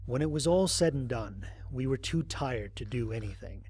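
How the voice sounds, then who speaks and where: Husky, expressive astronaut played by a man. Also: medium pitch, normal speed. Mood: tired, matter-of-fact. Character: weary, wry human astronaut recording his mission log. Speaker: audio drama narrator. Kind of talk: dramatic first-person log narration.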